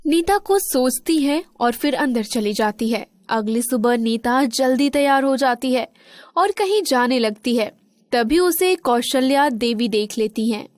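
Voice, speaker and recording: A woman's voice: high voice, expressive, a little slow, no echo, studio quality. A woman reading scripted narration for a children's moral story.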